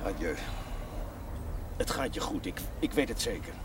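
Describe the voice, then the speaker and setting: A man's voice: fairly low pitch, sing-song, moderate pace. A man acting a sober cleric in a naturalistic television drama. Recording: distant mic, noisy outdoor sound.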